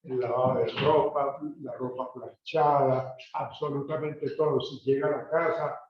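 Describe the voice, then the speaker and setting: A man's voice: mid-range voice, fairly even intonation, normal speed. A man with a Colombian accent giving a classroom lecture in a conversational way, with many hesitations and tag questions. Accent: Colombian Spanish. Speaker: university lecturer. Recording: roomy, distant, dull recording with faint noise.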